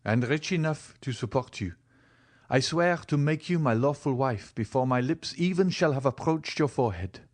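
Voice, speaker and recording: A man reading an audiobook, voicing a passionate young man who speaks in an earnest, measured and bitter way. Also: medium pitch, expressive, a little mellow, pitched above the performer's own voice, natural voice. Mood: urgent.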